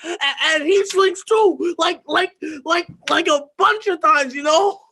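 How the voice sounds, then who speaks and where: A man's voice: a little high, expressive, normal speed, a bit bright. An actor playing a nervous seventeen-year-old boy who stammers, repeats himself and leans on fillers in a rambling monologue. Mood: frantic. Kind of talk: dramatic monologue.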